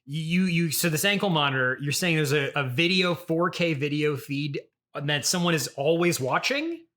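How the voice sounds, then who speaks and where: A man hosting an improvised comedy podcast interview, halting and apologetic at times, needling at others. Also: on the high side, expressive, fairly bright tone.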